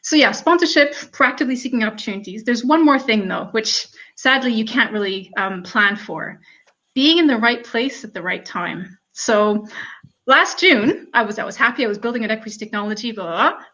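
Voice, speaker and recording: A woman in her thirties with a Canadian accent, telling her career story in a relaxed talk full of ums and "you know". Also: on the high side, normal speed. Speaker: panel speaker. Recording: muffled lo-fi audio.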